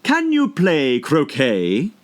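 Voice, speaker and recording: A man voicing a furious, domineering queen in a storybook, shrill and loud, shouting imperiously. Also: huge pitch swings, slow, heavily acted.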